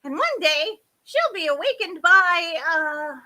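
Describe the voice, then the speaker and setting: A woman voicing a muddled, cheerful, half-deaf old fairy who exclaims loudly in a storybook tale. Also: very high-pitched, very animated, takes it slowly, pitched above the performer's own voice, exaggerated voice. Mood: muddled, excited. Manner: loud, exclaiming, mishearing.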